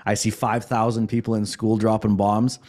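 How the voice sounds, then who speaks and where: A man's voice: on the low side, little pitch movement, moderate pace, a little mellow. A man co-hosting a casual podcast, blunt and enthusiastic, swearing freely, on a studio mic. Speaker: podcast co-host. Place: bright studio room, seated in armchair. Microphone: boom-mounted studio mic.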